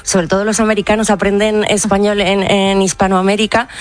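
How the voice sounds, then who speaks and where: A woman's voice: medium pitch, little pitch movement, brisk. Woman guest commentator, chatty, loose, mid-range voice. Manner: chatty, with many restarts. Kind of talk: live radio panel chat.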